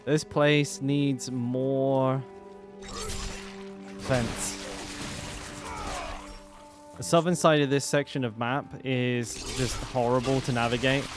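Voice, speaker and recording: A man's voice: medium pitch, steady tone, takes it slowly, pauses often. A man streaming a game, chatting casually and rambling with lots of fillers. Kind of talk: casual gameplay commentary. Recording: game music behind voice. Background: game music.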